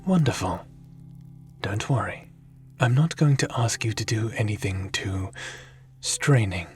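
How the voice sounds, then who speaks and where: A man playing a seasoned, caring and wry adventurer in an ASMR audio roleplay, speaking softly in a measured, gently theatrical way. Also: fairly low pitch, animated. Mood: gentle, attentive. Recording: music under the voice.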